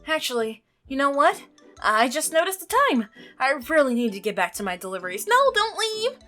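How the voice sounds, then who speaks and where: A woman's voice: high-pitched, very animated, a little fast, bright, forceful tone, soft background music, heavily acted. Woman reading as a jittery, defensive milkman. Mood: evasive. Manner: defensive, strained, easily offended. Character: jittery male milkman, devoted to sick wife.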